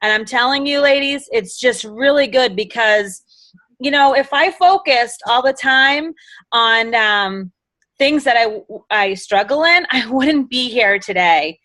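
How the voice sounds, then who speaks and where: A middle-aged woman with a Boston accent speaks with a bright, forceful edge and a slightly raised pitch. Her talk is enthusiastic and rambling. The sound is dry, with little room echo. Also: unhurried. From Massachusetts. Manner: enthusiastic, rambling, quotes others in lively voices. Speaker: guest speaker. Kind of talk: motivational sales talk.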